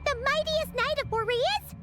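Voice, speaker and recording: Game role: tiny childlike sidekick creature, extremely high and squeaky, slow delivery, loud music underneath. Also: female voice. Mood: surprised. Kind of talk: video game voice acting.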